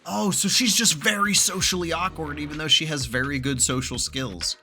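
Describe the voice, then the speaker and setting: A man giving casual, animated gameplay commentary as a streamer, swearing now and then. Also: on the high side, moderate pace, soft background music. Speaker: gaming streamer reacting to a story quest. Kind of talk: casual gameplay reaction commentary, sometimes reading dialogue aloud.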